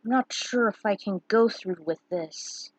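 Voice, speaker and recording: A woman plays a troubled knight in a fantasy story, hesitant and full of self-doubt. The voice is slow, with a soft husky edge. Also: medium pitch, no room sound, natural voice. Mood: doubtful.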